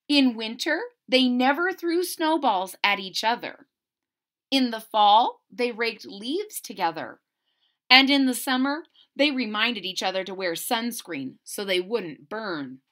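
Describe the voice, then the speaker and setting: Slow, animated woman storyteller. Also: a little high. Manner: expressive, deliberate, questioning the listeners. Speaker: storyteller reading aloud to children. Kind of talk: animated children's picture-book read-aloud with asides to listeners. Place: small indoor room at home.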